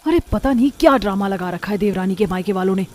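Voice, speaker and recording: A woman's voice: medium pitch, expressive, fast, a little mellow, close to an everyday voice. A woman voicing a resentful, scheming elder daughter-in-law in a cartoon, grumbling her complaints.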